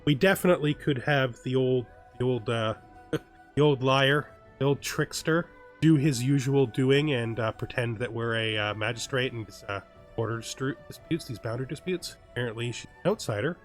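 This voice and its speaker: A man's voice: mid-range voice, normal speed, soft background music. A man hosting a let's play, thinking aloud in casual gameplay commentary, hesitant and often correcting himself, sometimes reading game text aloud.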